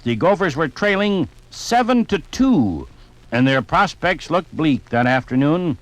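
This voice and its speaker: A man playing a jovial sportsman in a 1950s radio drama, reminiscing in a conversational, animated way. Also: a little high, measured pace, dry recording, low-quality recording. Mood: enthusiastic. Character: jovial sportsman reminiscing about football on a fishing trip.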